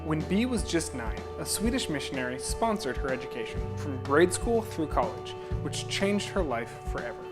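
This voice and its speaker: A man reading scripted narration for a charity video in a measured, steady way. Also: a little high. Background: guitar music.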